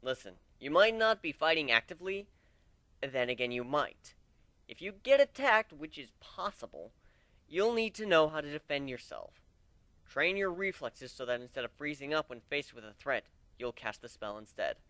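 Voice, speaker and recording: A man playing a commanding griffin combat instructor from a fantasy story. The voice is bright and forceful, swinging widely in pitch, with a sardonic edge and a dry, close sound. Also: sing-song, normal speed, no room sound. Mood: stern, lecturing. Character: brisk, stern male griffin warrior and combat instructor. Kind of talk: first-person dramatic story reading.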